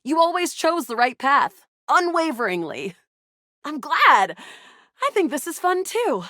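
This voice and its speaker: A feisty young sci-fi adventurer from a video game. Her voice sits high and swings widely with excitable, playful drama. The sound is dry and close, with no room around it. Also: a little slow, dry recording, full character voice. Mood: excited, earnest. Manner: animated, dramatic, playful banter. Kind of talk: video game character voice acting.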